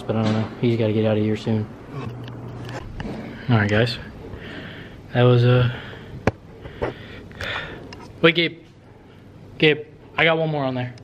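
A young man chatting casually to camera at a gym, with laid-back, profane bro slang. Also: medium pitch. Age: young adult. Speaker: vlogger. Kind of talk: casual gym vlog chat. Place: indoor commercial gym. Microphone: handheld camera, sometimes set back. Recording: clearly noisy camera audio in gym.

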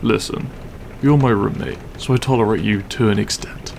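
A man playing a grumpy but secretly caring half-dragon roommate in an audio roleplay, gruff, weary and blunt. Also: fairly low pitch, normal speed, darkish tone, slight background noise. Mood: irritated, weary. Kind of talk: audio roleplay acting, spoken to the listener.

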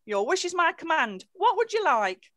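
An obliging old genie of the lamp from an amateur pantomime, read by a woman. The voice is high and very animated, making grand offers of service. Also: moderate pace, no echo, clean studio sound, full character voice. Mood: eager to serve. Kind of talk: amateur pantomime script reading. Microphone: computer mic on video call.

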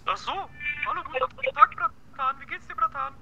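High-pitched goofy phone buddy. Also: male voice, expressive, moderate pace. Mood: goofy, chummy. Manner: goofy, punning, slangy. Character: silly, chummy joker buddy on the phone. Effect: telephone filter. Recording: noisy, dull telephone line.

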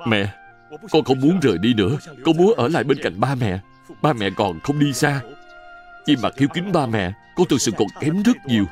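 A man playing a gentle, earnest family man in a dubbed children's cartoon. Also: medium pitch, very animated, normal speed, soft background music, natural voice.

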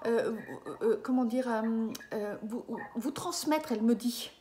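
High-voiced hesitant French woman medium. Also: a little slow, dark tone, a little hiss or hum. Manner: hesitant, many fillers, checks in with the listener. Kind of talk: live reading session with a client. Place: small room indoors. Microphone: webcam at desk.

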